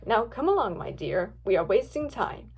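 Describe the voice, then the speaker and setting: A woman's voice: mid-range voice, sing-song, normal speed, vocal fry, a little mellow, husky, heavily acted. A woman voicing a scheming male mad scientist in a read-aloud story, smug, commanding and impatient. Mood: calm menace.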